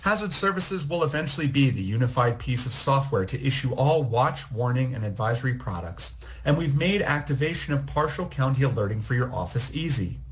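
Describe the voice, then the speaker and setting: A man's voice: medium pitch, lively intonation, a little fast, hardly any pauses. Man narrating a technical presentation. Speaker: program manager presenting. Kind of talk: scripted narration of a recorded presentation.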